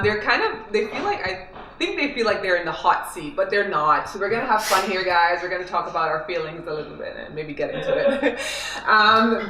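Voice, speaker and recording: A woman's voice: mid-range voice, far from the mic. A woman from Jamaica hosting a casual interview, teasing her guests and laughing often. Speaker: host. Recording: echoey room with crowd noise.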